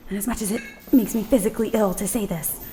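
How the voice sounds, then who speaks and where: A woman's voice: medium pitch, steady tone, fast talker, dark tone, natural voice. A woman playing a tough, exasperated young female prisoner in an audio drama, blunt and snarky. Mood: impatient. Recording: faint background noise.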